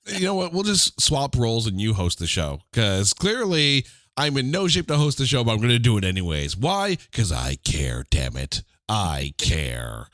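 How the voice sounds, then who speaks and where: A man's voice: on the low side, big pitch swings, moderate pace, few pauses, fairly bright tone, no room sound, clean studio sound. Nasal, congested man hosting a podcast. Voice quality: slightly nasal. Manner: playful, mock-dramatic asides to listeners. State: congested, under the weather. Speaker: podcast host. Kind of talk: casual podcast chat.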